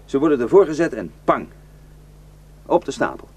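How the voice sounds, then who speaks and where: A man's voice: medium pitch, big pitch swings, normal speed, darkish tone, slightly rough. An actor in a radio drama playing a weary, bitter, well-travelled man who grimly warns of atrocities. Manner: grim, cursing, rambling storyteller. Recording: noisy radio play recording.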